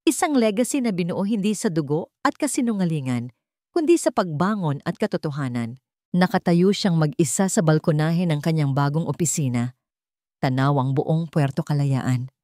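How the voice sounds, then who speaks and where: Woman, Filipino accent, low-set voice, dramatic scripted narration, very dry recording. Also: a little mellow, no echo. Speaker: story narrator. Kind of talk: scripted dramatic narration of a romance revenge story.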